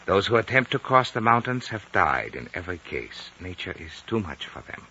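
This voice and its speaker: Old, muffled radio sound with faint hiss frames a courteous Chinese elder in his nineties. His low voice is suave and precise, with lively, theatrical inflection. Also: moderate pace, exaggerated voice. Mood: calm, wry. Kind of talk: 1950s radio drama acting.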